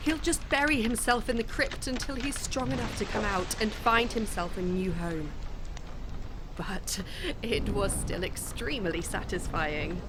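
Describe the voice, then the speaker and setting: An actress playing a gentle, steadfast young wife in a gothic audio drama, speaking with soft composure. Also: female voice, medium pitch, unhurried, natural voice. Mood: composed. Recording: noticeable background noise.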